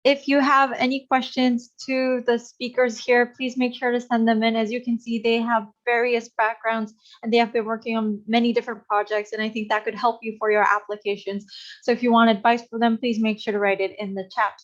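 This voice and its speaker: A woman moderates an online webinar and introduces the next speaker. She speaks politely in a flat, fairly high voice. The call audio is dull and lo-fi. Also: a little fast. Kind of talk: hosting a webinar. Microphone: video call.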